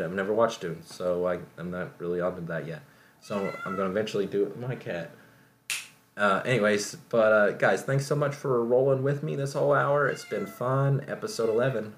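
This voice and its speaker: A man hosting a podcast with a casual solo pop-culture recap, talking in a rambling, halting way with lots of fillers. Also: low-pitched. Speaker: podcast host. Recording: roomy echo, faint background noise.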